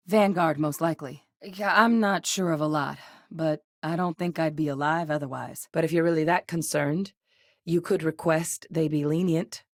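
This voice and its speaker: A steady, earnest space officer in a video game. Her voice sits low for a woman and comes across measured and sincere. The recording is dry studio sound with no room in it. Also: dry recording. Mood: alert. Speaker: game companion character. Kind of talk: game voice lines.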